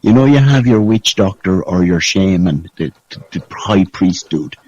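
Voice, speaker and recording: Male, low voice, casual sweary storyteller with a conspiratorial bent, dry and muffled call sound. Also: animated, moderate pace, darkish tone, dry recording. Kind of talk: casual chat. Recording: dull lo-fi call audio.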